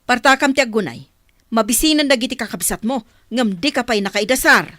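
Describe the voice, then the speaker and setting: A woman playing a harsh, resentful mother in an audio drama, scolding and sharp-tongued. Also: a little high, a little fast, no echo, studio quality. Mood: bossy, irritated. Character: harsh, resentful mother, suspicious of her adopted son.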